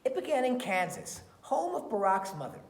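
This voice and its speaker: A woman reading a children's picture book aloud in an expressive storytelling style. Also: mid-range voice, moderate pace. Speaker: read-aloud reader. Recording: roomy, echoey sound.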